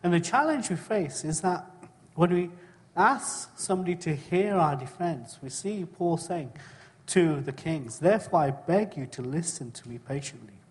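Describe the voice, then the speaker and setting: A middle-aged man who was born in Kenya and raised in England, preaching a sermon about his own testimony in a conversational way, often asking his listeners tag questions and clearing his throat. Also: on the high side, big-room sound. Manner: conversational, asks the congregation frequent tag questions.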